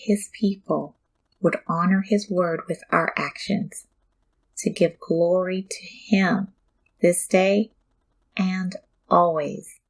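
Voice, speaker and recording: A woman hosting a devotional scripture reading, speaking in a reverent, deliberate and formal manner. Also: lowish voice, a little mellow, rough recording. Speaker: host and scripture reader. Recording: music bed under the voice. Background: background music.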